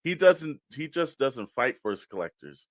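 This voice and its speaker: A man's voice: medium pitch, very animated, normal speed, no echo, studio quality. An American man co-hosting a podcast in a casual, conversational style. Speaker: podcast co-host. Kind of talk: casual toy podcast chat.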